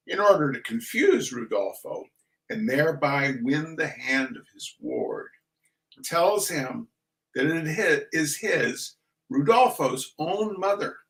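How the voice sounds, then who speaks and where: Slow, highly animated male reader. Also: poor audio. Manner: theatrical mock-serious delivery with dramatic pauses. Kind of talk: comic literary reading of opera synopses.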